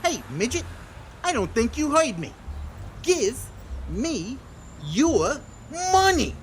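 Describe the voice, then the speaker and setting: A man's voice: very high voice, big pitch swings, slow pace, slight background noise, exaggerated voice. A man acting as a belligerent, easily rattled robber in an audio play, incredulous and gullible. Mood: threatening.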